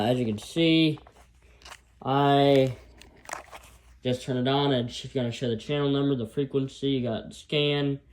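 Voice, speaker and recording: An American man talks through a gadget in a casual, unscripted way. His delivery is halting and rambling, with an informal tone and a mid-range pitch. He sounds like an amateur reviewer demonstrating something as he goes. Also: slight background noise, poor audio. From United States.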